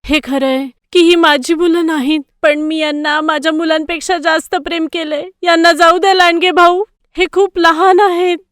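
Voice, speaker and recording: A woman voicing an anxious, self-sacrificing mother goat in an animated story, pleading and tender. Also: extremely high pitch, normal speed, no room sound, clean studio sound, pitched above the performer's own voice. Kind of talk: animated story character voice acting.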